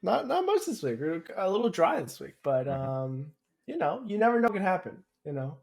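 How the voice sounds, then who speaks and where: A man's voice: high voice, fairly quick, vocal fry. A man co-hosting a podcast, telling stories in casual slang and acting out what other people said. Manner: animated slangy retelling, quoting others in dialogue. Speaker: podcast co-host. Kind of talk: casual chat, storytelling.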